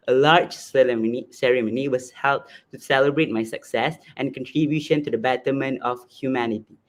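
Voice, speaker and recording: Young man reading a story aloud. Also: medium pitch. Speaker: student reading own group's story. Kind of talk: reading a first-person short story aloud.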